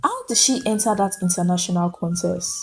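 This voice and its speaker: A woman's voice: on the low side, lively intonation, fairly quick, a little hiss or hum, voice pushed down. A woman voicing a proud, jealous, wealthy madam who sneers and snaps at her employee in a dramatic folktale. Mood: sneering, suspicious. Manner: sneering, snapping.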